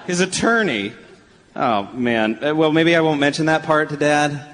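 An actor playing an anxious, earnest grown son who is easily flustered, in a live radio play. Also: male voice, on the high side, normal speed, a bit bright, a little hiss or hum, close to an everyday voice. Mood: worried. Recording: echoey hall.

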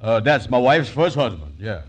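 A man plays a slow-witted, flustered bluffer from a 1940s radio comedy. His mid-range voice swings widely up and down as he stammers through folksy, ungrammatical excuses. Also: mid-range voice, very animated, close to an everyday voice. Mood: evasive. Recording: vintage 1940s live radio broadcast.

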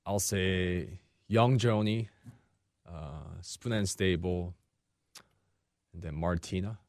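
A man answers a radio host's questions, halting and repeating words as he searches for them. His voice is very low. The recording is close and dry. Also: no echo. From Korea. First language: Korean. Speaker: chef and restaurant owner. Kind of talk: radio interview.

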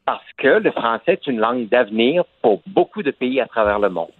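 A man, an actor and author giving a radio interview, articulate and measured. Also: mid-range voice, sing-song, slightly rough, no echo. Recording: phone line.

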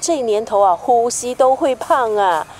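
A playful, high-voiced best girlfriend. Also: animated, measured pace, darkish tone. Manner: teasing, exclamatory. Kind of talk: Mandarin radio drama acting.

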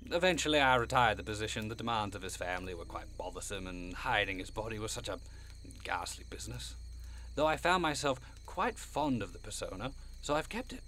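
A man's voice: lowish voice, animated, a little fast, bright, forceful tone, a little hiss or hum. Prim, swooping, theatrical goat-man monster. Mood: proud, reminiscing. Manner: prim, courtly, long-winded, old-fashioned wording. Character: genteel, fussy old Jersey Devil, a winged goat man. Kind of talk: audio drama acting.

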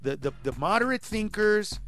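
Animated comedian man with music under. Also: high voice, normal speed. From Honduras. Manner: animated, rising to shouts when acting out bits. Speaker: stand-up comedian, guest. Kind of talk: casual interview chat.

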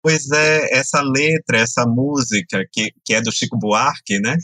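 A Brazilian writer with a Bahian accent, speaking thoughtfully and earnestly as an interview guest. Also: male voice, medium pitch, unhurried, sharp tone, no room sound. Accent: Bahian Brazilian Portuguese. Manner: thoughtful, earnest, with many "né" fillers. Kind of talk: podcast interview.

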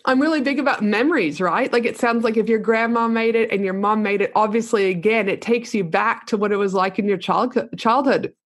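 A woman's voice: a little high, a little fast, few pauses. A woman hosting a podcast interview, talking in a chatty, upbeat way with long run-on sentences. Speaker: podcast host. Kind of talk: casual interview chat.

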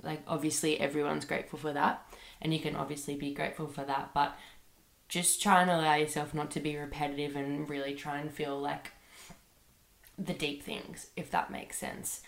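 A woman's voice: sits low, flat delivery, normal speed, vocal fry. A young woman hosting her own podcast, rambling casually with many fillers while sick with a bad cold. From Australia. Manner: chatty, rambling, lots of fillers like "like" and "um". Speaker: podcast host. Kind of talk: casual solo podcast monologue. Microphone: computer microphone. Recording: echoey room.

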